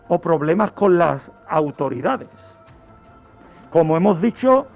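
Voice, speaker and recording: A high-voiced man narrates like a radio criminologist, emphatic and didactic. His voice has a heavy creak. The audio is dull and lo-fi, with music playing underneath. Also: darkish tone. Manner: emphatic, didactic, repeats phrases for effect. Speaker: radio criminologist presenting a true-crime segment. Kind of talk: storytelling explanation in a radio talk. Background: background music.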